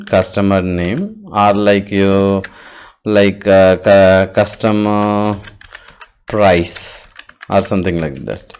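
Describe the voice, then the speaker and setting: A man presenting an unscripted software walkthrough, speaking haltingly and often repeating words and phrases as he goes. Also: on the low side, slight background noise. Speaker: tutorial presenter.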